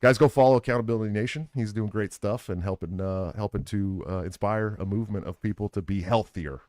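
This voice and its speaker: A man's voice: fairly low pitch, a little fast, darkish tone, no room sound, clean studio sound. An American man hosting a casual live interview, speaking in an earnest, informal way. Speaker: podcast host. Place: live at a political convention.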